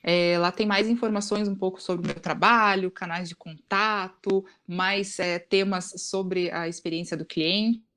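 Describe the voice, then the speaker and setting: A woman's voice: fairly low pitch, fairly even intonation, a little slow, few pauses, fairly bright tone, clean tone. A Brazilian woman explaining things as an expert guest in a casual podcast conversation, often adding short tag questions.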